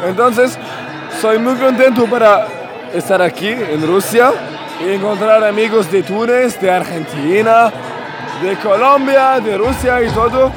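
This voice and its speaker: A young man from Tunisia, not a native Spanish speaker, talking excitedly in halting Spanish with restarts during a street interview at a fan festival. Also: sits high. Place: outdoor fan festival with crowd. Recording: loud crowd and music around.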